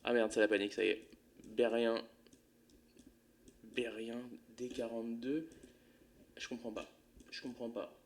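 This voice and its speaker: A man's voice: medium pitch, flat delivery, a little slow, pauses often, slight background noise. A man commentating his own play of a geography game live, thinking aloud in a casual, muttering way with some swearing.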